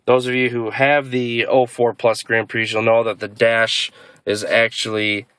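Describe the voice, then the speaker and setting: A man's voice: medium pitch, little pitch movement, unhurried, fairly bright tone, clear, resonant tone, dry recording. A man giving a casual, unscripted video walkthrough as a hobbyist, explaining in a hesitant, rambling way. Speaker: car hobbyist presenting a DIY install.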